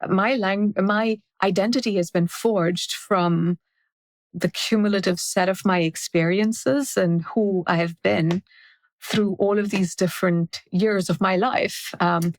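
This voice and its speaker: A woman in her forties, from India, speaks as a podcast guest. She reflects in a measured, storytelling way, with a gravelly texture and a middle-range pitch. The sound is close and dry. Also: fairly even intonation, no room sound. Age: 40s. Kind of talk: casual interview conversation.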